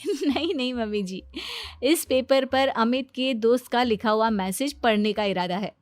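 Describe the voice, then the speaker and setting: A woman's voice: a little high, measured pace, no echo, natural voice. A woman voicing a boastful, breezy young daughter-in-law who knows everything, in an acted comedy family story. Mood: smug, confident. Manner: boastful, breezy, self-assured. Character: cocky know-it-all young daughter-in-law, proudly showing off.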